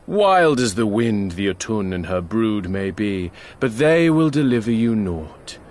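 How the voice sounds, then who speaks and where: Imperious warlord, low voice, very slow grandiose delivery, archaic fantasy game speech. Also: male voice, very animated, darkish tone. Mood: arrogant. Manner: grandiose, deliberate.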